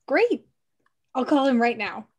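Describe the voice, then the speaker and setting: An actress playing a playful, confident young girlfriend in an animated film table read, teasing and direct. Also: sits high, a little slow, breathy edge, full character voice. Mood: coy, confident.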